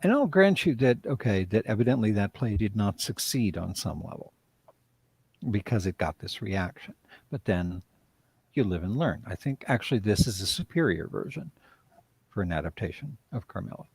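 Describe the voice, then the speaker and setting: A man's voice: fairly low pitch, lively intonation, normal speed, vocal fry, a little mellow, slightly rough, dry recording. A man, a writer and director, speaking reflectively in a live-stream interview and often trailing off mid-thought.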